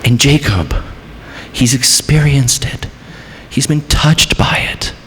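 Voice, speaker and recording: A man's voice: medium pitch, animated, slow, slight background noise. Guy pastor preaching, voice going way up and down, big echoey church. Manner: dramatic preaching, swings from hushed to raised. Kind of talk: sermon. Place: church sanctuary. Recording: church hall reverb.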